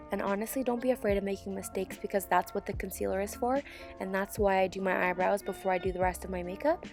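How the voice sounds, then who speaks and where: Hoarse young woman, gravelly voice. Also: medium pitch. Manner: calm step-by-step instruction. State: hoarse, sick with sore throat. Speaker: beauty channel host. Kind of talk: tutorial voiceover. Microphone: voiceover mic.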